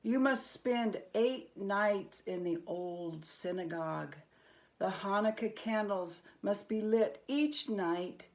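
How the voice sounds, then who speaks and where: A woman voicing a grave rabbi in a children's storybook, solemn and measured as he gives warnings. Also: medium pitch. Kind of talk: acted children's storybook reading.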